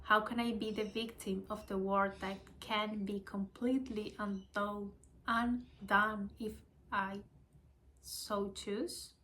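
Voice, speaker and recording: A woman whose first language is Spanish, with a Spanish accent, reading spiritual lessons slowly and hesitantly, adding her own reflections. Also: mid-range voice, little pitch movement, a bit bright, slight background noise, low-quality recording. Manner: hesitant, apologetic, with small laughs and restarts.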